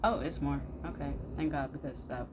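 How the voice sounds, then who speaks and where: Low-pitched young woman, noisy recording. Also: normal speed. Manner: blunt, slangy. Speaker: reaction video host. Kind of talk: casual reaction commentary. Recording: clearly noisy room recording.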